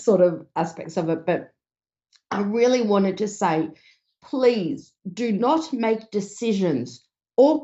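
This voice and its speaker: A woman hosting a psychic advice show, a little hoarse and talking earnestly with many fillers. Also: lowish voice, mellow. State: slightly hoarse, losing her voice. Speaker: psychic radio host. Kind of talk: casual advice talk. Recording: dull lo-fi stream.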